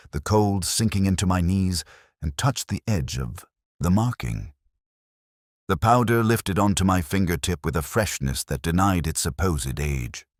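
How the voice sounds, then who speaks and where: A man playing a melancholy, reflective police inspector, recounting a case in measured, literary first-person narration. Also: rumbling low, no room sound, studio quality, full character voice. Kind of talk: scripted first-person detective story narration.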